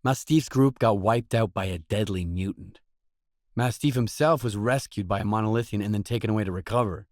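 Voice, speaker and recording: An actor playing a terse, guarded mercenary in a video game, speaking scripted lines in a matter-of-fact way. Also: male voice, on the low side, fairly quick, a little mellow, dry recording, studio quality, close to an everyday voice.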